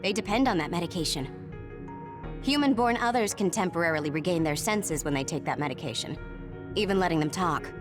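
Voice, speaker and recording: A woman's voice: on the low side, expressive, fairly quick, over music, natural voice. An actor playing a composed, matter-of-fact young woman, a comrade in arms in a video game. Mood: measured, explaining. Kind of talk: English video game voice acting.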